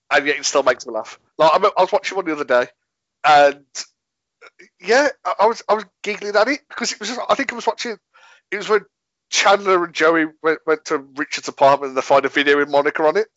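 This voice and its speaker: A chatty man from Birmingham talks over a video call, a touch higher than most men. He rambles through anecdotes and laughs easily. The call audio is dull and lo-fi, with a dry, close sound. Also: animated, a little fast, fairly bright tone, no echo. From Birmingham, England. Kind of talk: casual chat.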